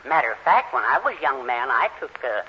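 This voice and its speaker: A man's voice: medium pitch, sing-song, quick pace, natural voice. An actor playing a meek, henpecked middle-aged husband and father in a 1940s radio sitcom, fussy and eager to placate. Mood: genial. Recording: noisy, dull 1940s radio recording.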